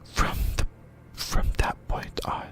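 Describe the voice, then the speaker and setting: This is an ASMR host reading an explainer in a breathy whisper. The pace is very slow and halting, with phrases often repeated or corrected along the way. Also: fairly bright tone. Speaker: host of an anime ASMR channel.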